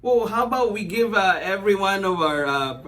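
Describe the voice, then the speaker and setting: A show host chatting casually in a halting, hesitant way. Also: mid-range voice, lively intonation, a bit bright. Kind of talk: casual unscripted show chat. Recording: distant mic with background noise.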